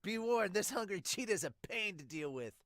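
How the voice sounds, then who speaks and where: A man voicing a loud, brash, excitable teenage boy baseball player in an amateur manga dub. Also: sits high, moderate pace, dry recording, clean studio sound, pitched above the performer's own voice. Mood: fired up. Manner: shouted, excitable exclamations.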